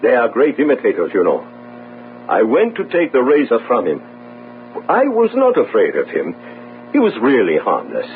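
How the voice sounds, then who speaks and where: An actor playing a poor, guilt-ridden sailor in a radio drama, earnest, defensive and pleading. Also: male voice, medium pitch, wildly swooping pitch, normal speed, pauses often. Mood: haunted recounting. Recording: narrow phone-like radio audio, faint noise.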